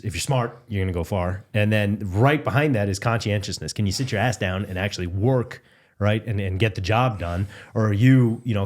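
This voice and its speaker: A man in his forties, a podcast guest in casual chat, talking in a chatty, self-deprecating way full of "you know" fillers, in a dim studio on a desk mic. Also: lowish voice. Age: 40s.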